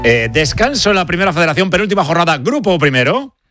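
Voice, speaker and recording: A man's voice: high voice, sing-song, fast talker, music in the background. A man hosting a live sports radio show, talking in a jokey, teasing way.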